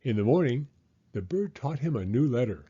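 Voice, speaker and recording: Guy reading a picture book out loud, soft warm tone, very expressive, slightly muffled audio. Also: medium pitch, moderate pace, breathy edge. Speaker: storybook reader. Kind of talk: expressive scripted children's story narration.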